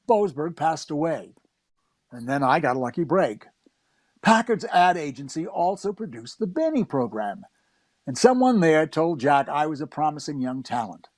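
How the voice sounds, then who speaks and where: A man's voice: on the high side, darkish tone, dry recording. An actor playing a wry comedy writer, narrating his memories conversationally in a scripted radio play.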